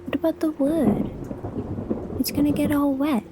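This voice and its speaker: A woman playing a practical, gently questioning schoolgirl daughter in an audio drama. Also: sits high, fairly even intonation, normal speed, noisy background, close to an everyday voice.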